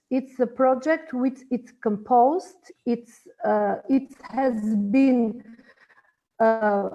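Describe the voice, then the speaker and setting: Woman presenting haltingly. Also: fairly high pitch, mellow, dull audio. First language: Albanian. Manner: halting, searching for words in a second language. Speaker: project presenter. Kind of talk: online info session presentation. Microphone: video call.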